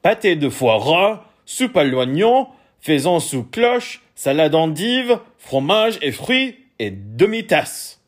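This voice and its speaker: A pompous storybook king, acted out by a male reader, raised in pitch and swooping widely. He is imperious and easily irritated, barking out commands with slow, weighty emphasis. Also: big pitch swings, full character voice. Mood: pompous, careful. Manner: commanding, grunting, snapping.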